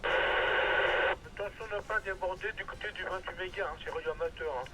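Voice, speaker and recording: A man's voice: sits high, moderate pace, poor audio. A man chatting casually as a hobbyist, heard through a two-way radio transmission. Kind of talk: casual radio contact chat.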